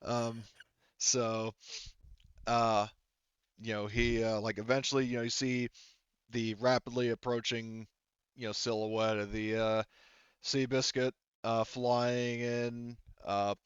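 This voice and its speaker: A man narrates a tabletop game as its game master. His voice is smooth, at an average pitch, and he feels his way through sentences conversationally. The sound is dry and slightly muffled. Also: flat delivery, slow, dry recording. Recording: slightly dull close dry mic.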